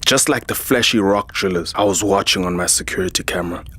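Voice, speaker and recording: Jaded transhuman security chief for audio drama, male actor. Husky lower register, sardonic, proud, narrates his own story. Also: fast talker, a bit bright. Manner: sardonic, world-weary. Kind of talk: first-person scripted sci-fi narration.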